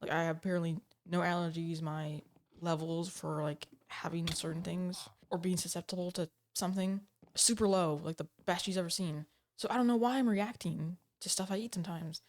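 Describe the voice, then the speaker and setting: A woman with a slightly low voice, a little off from a cold, talks straight to camera from home. She rambles in a casual vlog style, hesitating, restarting and padding her sentences with fillers. Her voice is picked up by a desk microphone. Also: fairly even intonation, a little fast, a little mellow. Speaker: gaming channel host. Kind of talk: casual talking-to-camera update.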